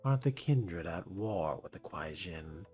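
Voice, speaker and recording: A man playing a cool young vampire fledgling in video game dialogue, speaking in a measured, deadpan, businesslike way. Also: low voice, over music. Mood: dry, wary.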